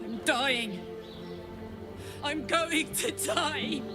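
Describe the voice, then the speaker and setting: A woman's voice: sits high, drawn-out words, over loud music. A woman acting a brash but tender warrior who is grieving her own coming death in a video game, raw and heartfelt. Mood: drained, bitter.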